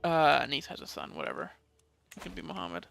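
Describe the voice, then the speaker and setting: A man's voice: a little high, big pitch swings, a little fast, creaky, fairly bright tone, faint music behind. A man giving casual let's play commentary, thinking aloud and reading bits of game text, with some mild swearing.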